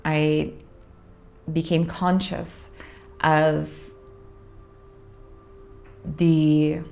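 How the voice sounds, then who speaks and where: A young adult woman from Orange County, California, hosting a casual monologue about her spiritual work, unhurried and reflective with frequent pauses. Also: low voice, flat delivery, gravelly, warm tone, faint music behind. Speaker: host, spiritual practitioner.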